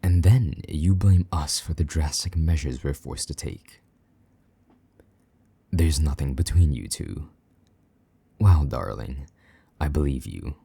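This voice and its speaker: A possessive male vampire knight, acted by a man for intimate audio roleplay. The voice is rock-bottom deep and very mellow, with a breathy husk that drifts toward whispering. He speaks with condescending, jealous menace, close and dry. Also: dry recording, exaggerated voice. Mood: mocking, suspicious. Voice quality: husky, breathy, half-whispered at times. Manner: condescending, slow-burning menace, mock-sweet endearments.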